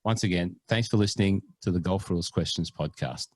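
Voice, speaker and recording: A man's voice: sits low, brisk, no room sound. Playful podcast man, dry close sound. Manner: playful, mock-earnest, hams up foreign pronunciations. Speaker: podcast co-host. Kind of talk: casual chat.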